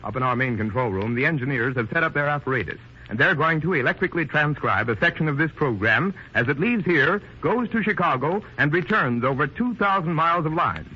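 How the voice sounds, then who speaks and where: Vintage 1930s radio announcer, animated high-voiced man. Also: brisk, few pauses, slightly rough. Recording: dull, band-limited 1930s radio recording.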